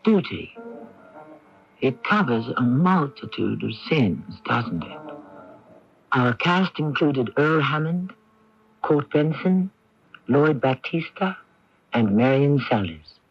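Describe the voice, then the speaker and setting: A man narrating as host of a scripted radio mystery in a dramatic, measured, reflective manner. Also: medium pitch, big pitch swings, creaky, slightly rough. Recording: dull 1980s radio broadcast, faint music.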